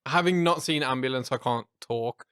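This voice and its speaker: Man, film podcast co-host, eager and sweary, mid-pitched, dry close sound. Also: moderate pace, fairly bright tone, clean tone, dry recording. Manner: enthusiastic, swearing, chatty. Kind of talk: casual film chat.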